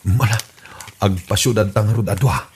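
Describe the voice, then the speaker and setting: Deep-voiced man with an Ilocano accent. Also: moderate pace. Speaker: voice actor in an audio comedy drama. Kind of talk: radio drama acting. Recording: radio drama recording with clear background noise.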